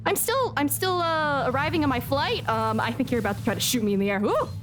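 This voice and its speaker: A woman chatting casually as she livestreams a game, playful and expressive, often mock-scolding. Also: high voice, fast. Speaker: game streamer. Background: game music.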